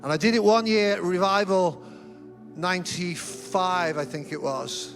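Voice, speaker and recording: A middle-aged male pastor with a notably high voice, preaching in a church. He tells humorous, self-deprecating stories. Music plays clearly underneath. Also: unhurried, fairly bright tone. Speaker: senior pastor. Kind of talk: sermon. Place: church auditorium.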